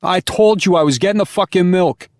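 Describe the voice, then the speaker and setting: A man's voice: medium pitch, fast talker, clean tone, no echo, voice pushed up, lightly acted. A man voicing a big, boisterous New York Italian man with a thick New York Italian accent and a brash, swearing way of talking. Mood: yelling. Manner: loud, brash, swears freely.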